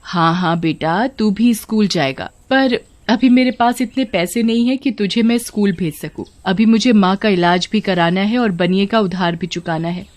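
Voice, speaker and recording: A woman's voice: mid-range voice, moderate pace, hardly any pauses, close to an everyday voice. A woman voicing a worried, humble poor mother in a moral story, pleading and beseeching. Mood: concerned. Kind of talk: moral story character reading.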